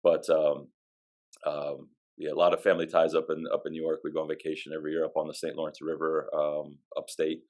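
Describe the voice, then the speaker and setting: A man with a very deep voice talks in an easygoing, rambling way, full of small fillers. He answers casual podcast questions about himself from his office. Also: monotone, fairly quick, a little mellow. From Pennsylvania. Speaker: athletic director.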